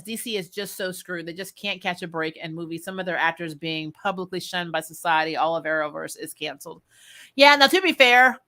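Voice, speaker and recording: Casting: female livestream host, bright edge to the voice, chatty and blunt, off-the-cuff fan commentary. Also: mid-range voice. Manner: candid, informal, mild swearing; laughs often while talking. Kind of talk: casual chat, opinionated fan commentary.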